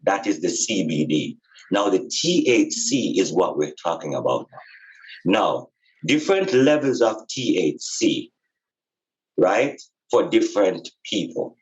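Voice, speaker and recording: A man giving an informal, explanatory spiritual talk in a deliberate, emphatic way, repeating phrases for stress. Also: sits low, very animated, rough recording. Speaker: podcast speaker and spiritual teacher.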